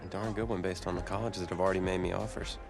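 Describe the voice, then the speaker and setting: An actor playing a cocky, territorial high school quarterback in a game cutscene, boastful and coolly confrontational. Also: male voice, steady tone, very fast, gravelly, music in the background. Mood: dismissive.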